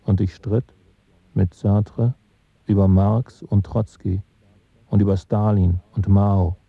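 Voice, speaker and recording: An actor in a German radio play, playing an opinionated, disillusioned revolutionary film intellectual who muses in halting phrases. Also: male voice, on the low side, flat delivery, vocal fry, breathy edge, no room sound, phone-quality sound, exaggerated voice. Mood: reflective, opinionated. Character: male French cinephile director, opinionated, disillusioned revolutionary intellectual.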